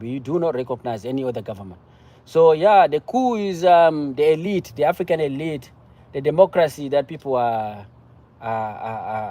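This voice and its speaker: A man from Cameroon with a Cameroonian accent, speaking off the cuff about politics in a halting, repetitive, emphatic way. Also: mid-range voice, warm tone. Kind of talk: impassioned political discussion, casual and unscripted.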